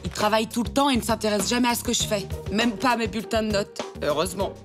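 A woman's voice: medium pitch, quick pace, music in the background, lightly acted. A woman voicing a cool, self-assured young schoolboy in a cartoon, with a blunt, offhand manner. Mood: doubtful. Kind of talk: animated cartoon voice acting.

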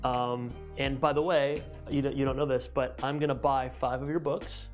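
A man's voice: mid-range voice, level tone, moderate pace, faint music behind. A man in his thirties hosting an interview, talking energetically with many fillers and restarts, on a lapel mic in a glass-walled office. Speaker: host. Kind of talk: enthusiastic interview intro.

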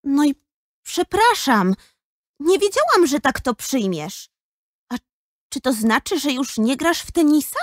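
A woman's voice: sits high, big pitch swings, unhurried, pauses often, no echo, clean studio sound. A female performer playing an earnest, caring, persistent schoolgirl in a children's audio drama. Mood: concerned, persuasive.

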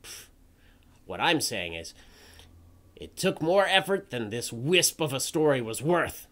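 A performer voicing an indignant, theatrical crow puppet in a dramatic reading, pompous and scornful with drawn-out emphasis. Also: mid-range voice, very animated, a bit bright. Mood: bitter, exasperated. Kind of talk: dramatic reading of an old forum post.

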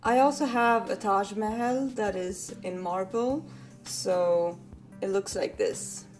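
Soft-spoken young woman. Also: medium pitch, slow, soft background music. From Sweden. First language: Swedish. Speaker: vlogger. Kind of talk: casual talk to camera. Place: living room indoors.

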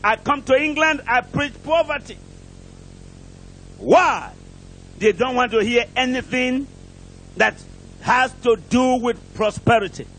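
Guy preaching hard, really high voice, emphatic, repeats his phrases, bit of rumbly noise. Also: slow pace, pauses often, fairly bright tone, rough recording. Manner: rhetorical questions put to the congregation. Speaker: visiting preacher. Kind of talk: fervent charismatic sermon.

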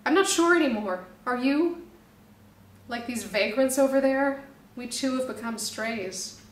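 A woman's voice: high-pitched, measured pace, roomy sound, recorded from a distance. A woman voicing a young, trusting male snake prince who speaks eagerly.

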